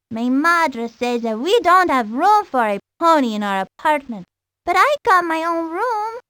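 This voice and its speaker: A very high-pitched girl of seven introduces herself in a video game. She is chatty, girly and earnest, with lively swings and a dry studio sound. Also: no room sound, exaggerated voice.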